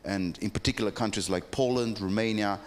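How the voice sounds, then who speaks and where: A man speaks reflectively on a conference panel, often hesitating and restarting his phrases. His voice is somewhat low, and it carries a long reverb through the hall. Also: brisk.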